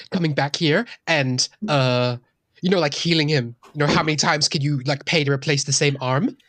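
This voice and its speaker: A man's voice: on the high side, fairly bright tone, dry recording, lightly acted. A man playing a wry, jittery reindeer centaur cleric in a tabletop game, talking hesitantly with dry suspicion. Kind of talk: improvised tabletop role-play.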